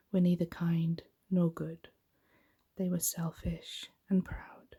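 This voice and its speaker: A woman giving a soft-spoken, gentle, hushed ASMR reading of a bedtime story in a breathy, whispery voice. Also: lowish voice.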